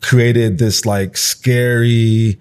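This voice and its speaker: A man's voice: mid-range voice, flat delivery, drawn-out words, darkish tone, clear, resonant tone. A Nigerian-born man in his thirties, Igbo his first language, talking in an interview in a loose, reflective way full of "like" and "you know". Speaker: guest. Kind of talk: conversational interview.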